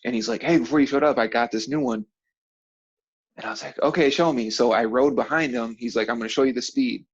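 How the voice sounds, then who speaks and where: A man's voice: mid-range voice, fast talker, husky. Slangy man telling stories over dull audio. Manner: slangy, profane, laughs easily while telling stories. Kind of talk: casual chat, animated storytelling.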